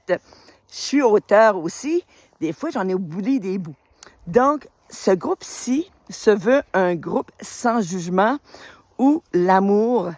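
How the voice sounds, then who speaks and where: A woman's voice: mid-range voice, no room sound, clean studio sound. A woman, welcoming followers to her spiritual group in a casual, rambling way, recorded outdoors on a phone. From New Brunswick, Canada. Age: 50s. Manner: warm, enthusiastic, rambling with many fillers. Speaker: retired teacher and spiritual group leader. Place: outdoors on a road in winter.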